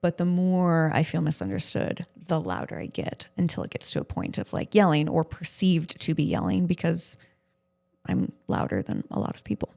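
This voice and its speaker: A woman's voice: low-pitched, big pitch swings, moderate pace, vocal fry, mellow. A woman co-hosting a podcast in casual conversation, with a blunt, self-deprecating way of talking. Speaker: podcast co-host interviewing her partner.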